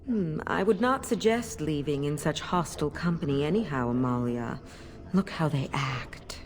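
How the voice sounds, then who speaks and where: Sly, low-voiced demon cat. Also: female voice, lively intonation. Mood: smug, possessive. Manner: formal, measured, haughty. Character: sly demon in cat form, silkily possessive, condescending. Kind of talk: dramatic video game acting.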